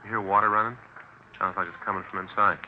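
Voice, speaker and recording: Male actor as practical detective partner, low pitch, casual brief speech, 1950s radio acting, tinny recording. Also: flat delivery, fast, noisy background, close to an everyday voice. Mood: alert, practical. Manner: brief, casual, matter-of-fact. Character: practical, plain-spoken police detective partner. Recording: thin, narrow old radio recording.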